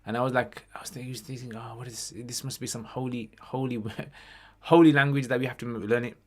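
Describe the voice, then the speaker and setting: A man's voice: medium pitch, steady tone, brisk, a little mellow. Informal man chatting on a livestream. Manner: informal, conversational, sprinkles in Arabic phrases. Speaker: livestream host answering questions on Islam. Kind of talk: casual livestream Q&A chat, reading out questions.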